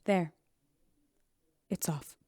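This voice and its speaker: An actress playing a guilt-ridden, defensive bartender in a radio drama, with earnest, emotional delivery. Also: female voice, very slow, very noisy. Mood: bitter.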